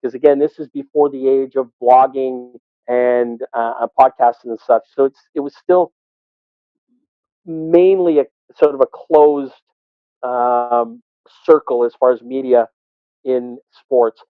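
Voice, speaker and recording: A man's voice: medium pitch, steady tone, measured pace, clean tone, no echo. Man on a phone-like line. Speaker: sports public address announcer. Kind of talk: conversational interview answers. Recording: tinny, phone-like call audio.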